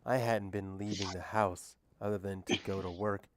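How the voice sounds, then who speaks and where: A man's voice: sits low, steady tone, normal speed, no echo. A man chatting casually as a streamer on a close microphone. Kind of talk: casual chat.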